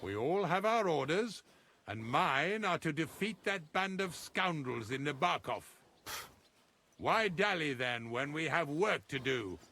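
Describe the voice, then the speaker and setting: Hearty, boastful medieval lord chamberlain, animated. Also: male voice, a little high, measured pace, a little hiss or hum, full character voice. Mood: commanding, hearty. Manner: hearty, overconfident, pompous. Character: boastful, wine-loving lord chamberlain leading medieval knights. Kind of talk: video game cutscene acting.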